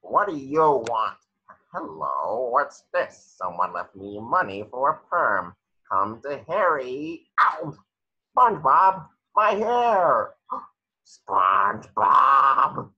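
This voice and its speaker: Guy doing snooty vain cartoon squid, husky n drawn out, bit lo-fi. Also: medium pitch, very animated, slow pace, full character voice. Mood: haughty, then outraged. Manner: drawling, pompous. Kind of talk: animated cartoon table read.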